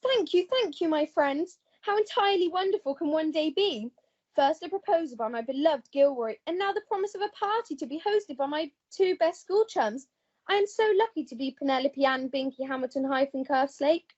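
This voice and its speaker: A gushing, self-centred young English heiress voiced by a woman in a comic radio melodrama. Her voice sits very high and she plays everything melodramatically and posh. The recording is slightly dull. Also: a little fast, darkish tone, natural voice. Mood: elated, gushing.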